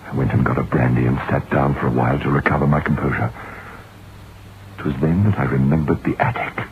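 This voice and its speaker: An actor playing a troubled, curious writer who recounts his dread in a deliberate, suspenseful old-time radio horror monologue. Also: rumbling low, fairly even intonation. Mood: hushed dread. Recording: muffled, noisy vintage radio recording.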